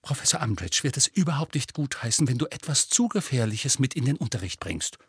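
A man's voice: mid-range voice, big pitch swings, fairly quick, breathy edge, no echo, studio quality. A man voicing an earnest, anxious teenage schoolgirl in an audiobook, urgent and lecturing. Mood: wary, urging. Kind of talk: audiobook character voice.

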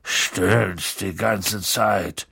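A man voicing a huge, tipsy, emotional gamekeeper in an audiobook, with a gruff, slurred voice and colloquial speech. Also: low-pitched, steady tone, very slow, bright, forceful tone, dry recording, pitched below the performer's own voice, exaggerated voice. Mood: dejected. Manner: colloquial, dropping words, swinging into bellows.

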